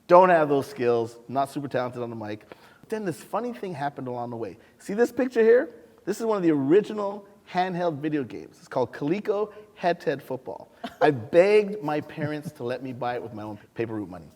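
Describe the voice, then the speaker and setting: A man from Canada telling a humorous story with animated energy on a panel. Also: fairly high pitch, brisk. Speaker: science museum chief executive. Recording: long hall reverb.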